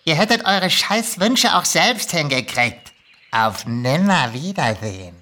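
Woman playing a sharp-voiced, grumpy male kobold. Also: deep voice, big pitch swings, a little slow, full character voice. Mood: scornful, angry. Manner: irritated, commanding, scornful. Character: grumpy, foul-mouthed male kobold granting wishes reluctantly. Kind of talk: German audio-play reading.

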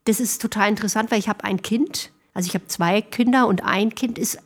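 A woman's voice: medium pitch, brisk. A middle-aged woman, a singer, talking reflectively as a guest in a podcast interview.

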